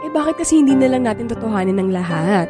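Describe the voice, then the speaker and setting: Lively, high-voiced flirty Filipino mother-to-be played by an actress. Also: fast, over music, close to an everyday voice. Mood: teasing, flirty. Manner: coquettish, teasing, expressive. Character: playful, flirty expectant mother, clingy and teasing. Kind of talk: Filipino audio drama acting.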